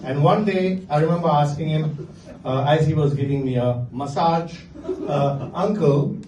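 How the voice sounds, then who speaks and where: Middle-aged Indian man, stand-up, dry deadpan, mellow voice, distant roomy sound. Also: on the high side, a little slow, a little hiss or hum. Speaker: stand-up comedian. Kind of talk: stand-up comedy set. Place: comedy venue with live audience. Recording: echoey room, distant mic, faint ambience.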